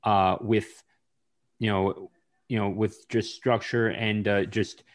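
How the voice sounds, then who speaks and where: A man with a somewhat low voice hosts a casual podcast interview. He talks haltingly, stopping and restarting his sentences and padding them with fillers. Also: little pitch movement. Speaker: podcast host.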